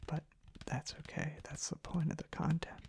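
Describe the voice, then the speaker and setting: A young man giving a soft-spoken ASMR ramble close to the microphone, hushed and halting, with pauses mid-sentence. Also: a little high, little pitch movement, gravelly, slight background noise. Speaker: ASMR content creator. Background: soft tapping and clicking.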